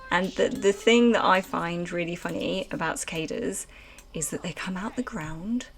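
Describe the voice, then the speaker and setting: A woman's voice: fairly low pitch, normal speed, creaky, faint music behind. A woman streaming a game live, chatting casually and rambling with lots of fillers at a desk microphone. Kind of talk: casual let's-play commentary chatting with chat.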